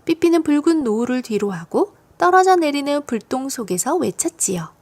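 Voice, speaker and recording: High-pitched, lively woman narrating a storybook. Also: moderate pace. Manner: expressive, storytelling for young children. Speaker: audiobook narrator of children's stories.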